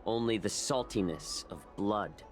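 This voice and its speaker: A man voicing a suave, theatrical, playfully sinister healer and cook in a game, explaining things smoothly. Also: fairly low pitch, a little slow, faint music behind, lightly acted. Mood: amused, taunting. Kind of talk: scripted game dialogue.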